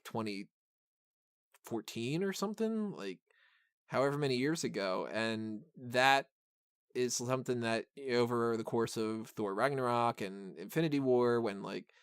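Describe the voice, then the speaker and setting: A man with a clean, smooth voice hosts a casual podcast review. He rambles along enthusiastically as he talks. The sound is close and dry, with a mid-range pitch. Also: no room sound. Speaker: podcast host reviewing a film. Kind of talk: casual film discussion.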